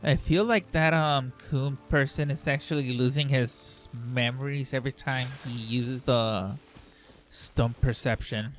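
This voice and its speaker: A man streaming a video game with casual commentary. His voice is mid-pitched and picked up by a USB microphone, with faint game music behind. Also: fairly even intonation, unhurried. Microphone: dynamic USB microphone.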